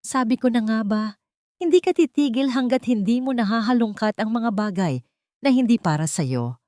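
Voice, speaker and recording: A woman's voice: fairly high pitch, animated, moderate pace, dark tone, dry recording, studio quality, pitched above the performer's own voice, close to an everyday voice. A woman voicing a cold, vengeful, threatening female villain in a dramatic audiobook. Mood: cold menace. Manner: threatening, taunting. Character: cold, vengeful, menacing woman villain scheming against a maid. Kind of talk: dramatic audiobook character acting.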